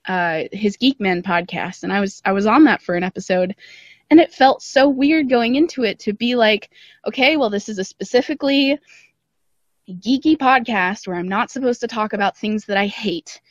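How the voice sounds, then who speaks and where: A woman's voice: mid-range voice, normal speed, dry recording. A young woman chatting casually and at length as a podcast guest, talking in a self-deprecating way. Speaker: podcast guest, audio drama creator. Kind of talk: casual interview chat. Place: dry room, calling in remotely. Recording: slightly dull, band-limited call audio.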